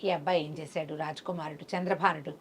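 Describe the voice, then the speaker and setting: A woman's voice: low voice, fairly even intonation, quick pace, a little hiss or hum. A woman tells a folk tale conversationally to a listener, voicing the characters' dialogue as she goes. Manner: acts out characters' dialogue within the narration. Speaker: storyteller.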